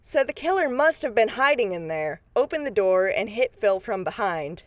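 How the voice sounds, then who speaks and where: A woman's voice: medium pitch, big pitch swings, moderate pace, no room sound, lightly acted. A woman playing a businesslike, take-charge deputy investigator in a radio drama, plain-spoken and matter-of-fact. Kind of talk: scripted radio drama acting.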